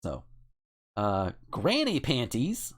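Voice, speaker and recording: A podcast host chatting casually and reading out word lists, haltingly, with swearing and joking asides. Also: medium pitch, huge pitch swings, slightly rough.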